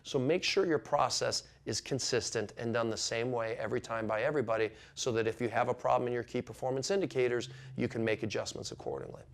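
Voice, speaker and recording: A man with a mid-pitched voice presents an instructional business explainer. He speaks emphatically, leaning on key words, and regularly tags his points with short questions to check that listeners follow. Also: steady tone, fast, gravelly, few pauses. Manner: emphatic, with frequent "okay?" checks.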